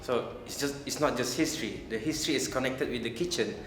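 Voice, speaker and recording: A man's voice: mid-range voice, fast talker. Man from Brunei, rambling and repetitive. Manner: rambling, repeats words. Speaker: culinary student. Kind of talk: interview testimonial. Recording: echoey room with background music.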